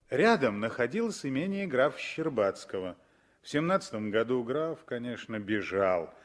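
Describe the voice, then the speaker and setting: A man narrating a story in the scripted style of 1960s Soviet radio drama. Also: mid-range voice.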